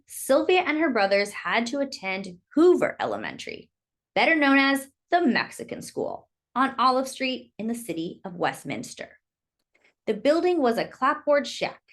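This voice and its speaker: A woman reading a children's story aloud in an expressive, emphatic storytelling manner. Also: on the high side. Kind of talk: expressive read-aloud narration with brief asides.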